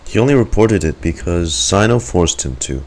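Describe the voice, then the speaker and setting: A man's voice: low-pitched, animated, moderate pace, creaky. A man giving casual, slangy, joking reaction commentary as he plays a video game.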